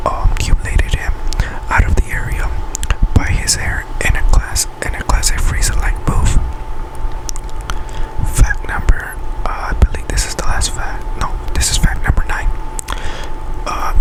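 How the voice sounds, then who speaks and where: A man whispers a list of facts in breathy, unvoiced ASMR style, often stumbling over and repeating his words as he reads. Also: rough recording. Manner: halting delivery, stumbles and repeats words while reading. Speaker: ASMR video creator and host.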